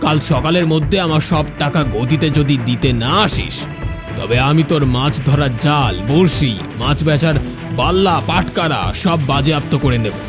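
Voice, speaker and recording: A man plays a hard, threatening village moneylender in a fairy-tale cartoon. He scolds in a domineering way. Music plays clearly behind the voice. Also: fairly high pitch, normal speed, natural voice. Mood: stern, threatening. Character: stern male moneylender, bullying and threatening.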